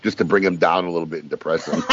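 A man's voice: sits low, heavy background noise. A man co-hosting a talk show, telling stories in a casual, teasing banter. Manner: teasing storyteller. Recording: muffled lo-fi live stream audio.

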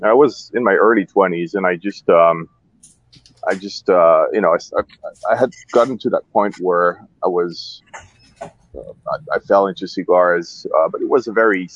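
Low-voiced Swiss-born man on talk radio. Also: moderate pace, no echo, muffled recording. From Switzerland. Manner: loose and candid, with frequent fillers and restarts. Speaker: guest, cigar maker. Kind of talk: relaxed interview talk. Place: radio studio.

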